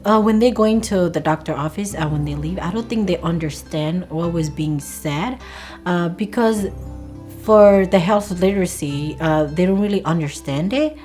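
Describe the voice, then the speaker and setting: A young woman, a native Karen speaker from Thailand, describing her work as a community health worker. Her voice sits fairly low, and she pauses often with "uh" as she searches for words. Faint music plays behind her. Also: darkish tone. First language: Sgaw Karen. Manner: halting, with many "uh" fillers. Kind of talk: interview.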